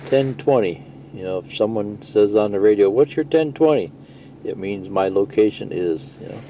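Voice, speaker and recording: A man's voice: on the low side, expressive, normal speed, highs cut off, a little hiss or hum. A middle-aged man giving a casual, rambling, plain-spoken hands-on walkthrough of a gadget, explaining as he goes. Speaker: CB radio video presenter.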